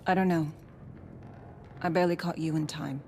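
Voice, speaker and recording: An actress playing a steady, wry fugitive mage companion in a video game, measured, dry and reassuring. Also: female voice, deep voice, a little hiss or hum. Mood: somber, frank. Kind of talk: cinematic game acting. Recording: faint background ambience.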